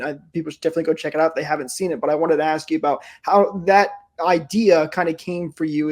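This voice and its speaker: Fast-talking man hosting, higher-pitched voice. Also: darkish tone. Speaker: podcast host. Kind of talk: casual interview hosting.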